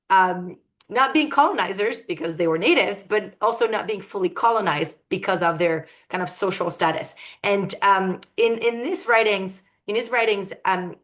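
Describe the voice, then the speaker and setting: A woman giving an academic lecture, thoughtful and often pausing to search for her words. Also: medium pitch.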